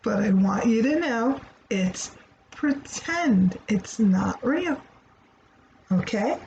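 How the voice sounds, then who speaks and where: A high-voiced woman talks to children like a teacher, very slowly and deliberately. She repeats simple explanations and checks that they follow. The recording is dull and lo-fi. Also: darkish tone, slight background noise. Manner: deliberate, repetitive, checking understanding. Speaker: children's read-aloud storyteller.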